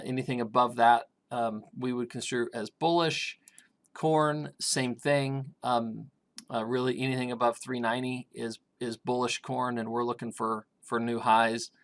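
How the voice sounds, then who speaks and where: A middle-aged man talks through grain price charts in a casual, halting way, filling gaps with ums and starting sentences over. His voice is raspy, and his pitch sits at an everyday middle level for a man. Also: a bit bright. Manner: hesitant, many ums and restarts. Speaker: grain market consultant.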